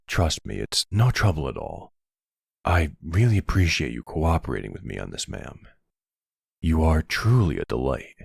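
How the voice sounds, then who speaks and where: A man playing a charming middle-aged mafia boss, suave and flirtatious, with a gravelly, husky voice, in an intimate ASMR roleplay. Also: very deep voice, a little mellow, no echo, studio quality, heavily acted. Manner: suave, courteous, teasing, uses pet names.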